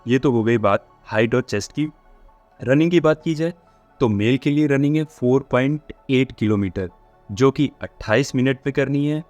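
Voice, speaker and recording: A man's voice: medium pitch, moderate pace, darkish tone, no echo, faint music behind. A man hosts an informal explainer for exam candidates, mixing in English words as he talks. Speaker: host of a job updates channel.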